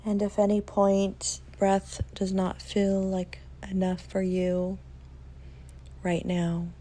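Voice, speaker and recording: A woman leading a guided meditation in a soft, soothing way, leaving long pauses between phrases. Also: medium pitch, monotone.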